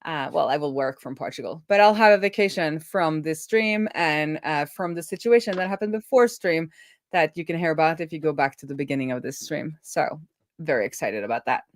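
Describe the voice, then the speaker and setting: A woman's voice: low-pitched, fairly quick, no room sound. Casual woman livestreamer, close dry sound. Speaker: livestream host.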